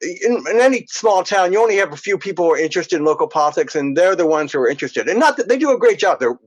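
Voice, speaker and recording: A man's voice: sits high, sing-song, fast talker. Middle-aged guy from princeton rambling thru stories, chuckles a lot, muffled sound. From Princeton, New Jersey. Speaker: podcast guest. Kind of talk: casual interview chat. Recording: dull lo-fi audio.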